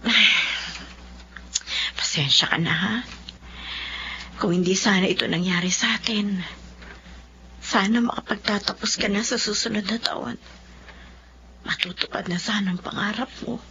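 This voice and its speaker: Radio drama mother: poor, worried, apologetic, bright emotional voice, dull recording. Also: mid-range voice, fairly even intonation, measured pace, long pauses, slight background noise. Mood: regretful, self-blaming. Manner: emotional, plaintive. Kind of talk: Filipino radio drama acting.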